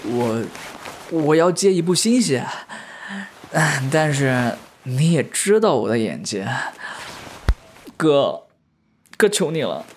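A man's voice: on the high side, big pitch swings, slow pace, long pauses, darkish tone, a little hiss or hum. An actor playing an eager, playful young male film star known for bad acting, in an audio drama. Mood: pleading. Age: young adult.

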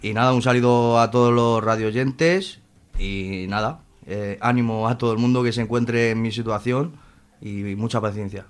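Male, late twenties, Madrid accent, mid-pitched, hesitant, repetitive, informal interview guest. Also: flat delivery, normal speed. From Madrid, Spain. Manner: hesitant, repeats words, frequent tag questions. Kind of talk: informal personal interview answers.

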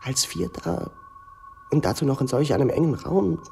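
An actor playing an effusive young pupil in a radio drama, speaking in a florid, hesitant, imploring way. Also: male voice, a little high, normal speed, gravelly, a little mellow, a little hiss or hum. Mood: desperate pleading. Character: pleading, effusive, insolent young male pupil.

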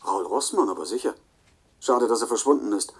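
An actor playing a frank, cooperative colleague in a radio play, answering in a measured, reflective and helpful way. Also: male voice, fairly low pitch, lively intonation, breathy edge. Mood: thoughtful, helpful.

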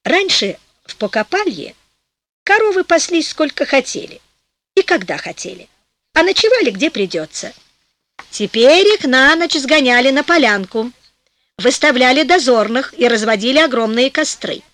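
A woman narrating a fairy tale in a lively storytelling manner for an audiobook, slowing down for rhymed passages. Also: high-pitched, no echo.

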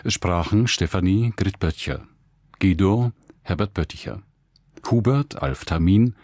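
A man's voice: low voice, unhurried, darkish tone, no echo, clean studio sound. A man working as a radio announcer, reading scripted credits formally.

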